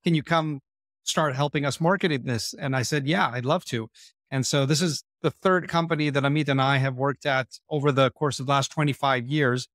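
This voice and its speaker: A man's voice: mid-range voice, moderate pace, fairly bright tone, no room sound, clean studio sound. A man in his forties with an Israeli accent, a podcast guest telling his career story in a candid, self-deprecating way. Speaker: guest, marketing executive. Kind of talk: podcast interview.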